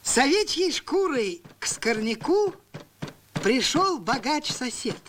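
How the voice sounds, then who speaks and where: A man's voice: very high-pitched, takes it slowly, music in the background, heavily acted. A man narrating a rhyming cartoon tale theatrically, acting out bits of dialogue. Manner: theatrical, expressive. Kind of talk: storytelling narration in rhyme with acted dialogue.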